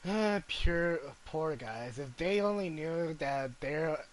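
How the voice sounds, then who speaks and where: Male gamer commentator: relaxed chat, unhurried, slightly high voice, joking asides, faint background noise. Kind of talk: casual gameplay commentary.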